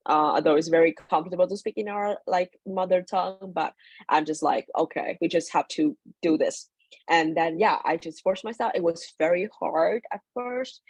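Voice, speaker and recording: A woman's voice: low voice, a little mellow, slightly rough, no echo, low-quality recording. A young woman from Vietnam, speaking English on a webinar video call. She talks loosely and chattily, with many fillers and self-corrections. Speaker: webinar speaker, former student in Finland. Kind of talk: informal personal talk to an online audience.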